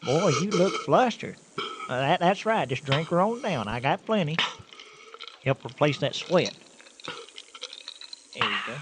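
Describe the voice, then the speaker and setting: A folksy Southern country laborer in a radio comedy. His voice is fairly high and swoops freely as he stammers and exclaims, over clearly noisy, buzzing summer ambience. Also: sing-song, unhurried, pauses often. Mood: surprised, friendly. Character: folksy excitable Southern country manure hauler. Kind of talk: old-time radio comedy acting.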